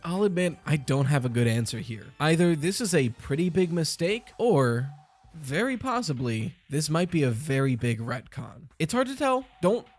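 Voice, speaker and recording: A man's voice: on the high side, big pitch swings, normal speed, faint music behind. A man hosting a casual commentary on video game lore, chatty and nitpicky as he picks apart details.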